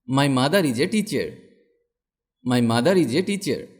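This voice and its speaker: A man reading simple English questions and answers for learners, saying each sentence twice with clear, deliberate delivery. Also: medium pitch, expressive, a little mellow. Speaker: English teacher reading practice phrases.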